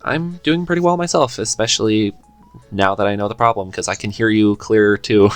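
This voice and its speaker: A man with a very deep voice hosts a podcast, asking relaxed, curious questions. Faint music plays underneath. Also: a little fast, smooth, no room sound. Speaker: podcast host. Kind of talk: casual interview chat.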